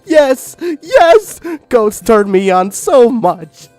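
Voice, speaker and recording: Man putting on a loud, high, bombastic villain. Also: sing-song, drawn-out words, dry recording, faint music behind, exaggerated voice. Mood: excited. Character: gloating, excitable male militant villain in a parody.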